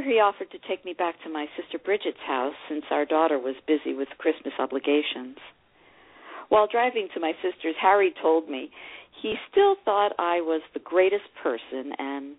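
A woman playing a wistful older divorcee, reading her romantic memoir aloud in an expressive, sentimental way. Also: deep voice. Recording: phone-like narrow radio sound.

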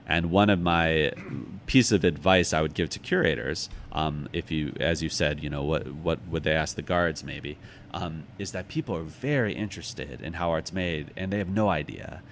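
American guy, middle aged, really low voice, thinking out loud in a casual interview, noisy background. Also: normal speed. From United States, Chicago. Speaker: interviewed author.

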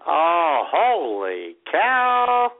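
A man's voice: on the high side, huge pitch swings, very slow. A man co-hosting a casual comedy podcast, teasing his partner and calling himself an optimist. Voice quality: gravelly, teasing, self-described optimist. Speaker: podcast co-host. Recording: tinny phone-like audio.

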